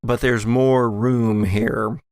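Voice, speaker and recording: A man narrating a tabletop horror game as game master, in a measured, halting storytelling manner. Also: mid-range voice, flat delivery, a little mellow, clean tone, no room sound, studio quality.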